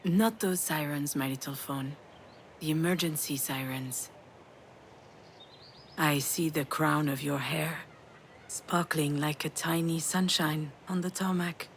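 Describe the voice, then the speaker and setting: A woman playing a sardonic, self-blaming yet tender mother in a dramatic video game, frank and emotionally expressive. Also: deep voice, takes it slowly, slight background noise. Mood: guilt-ridden, sorrowful. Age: adult.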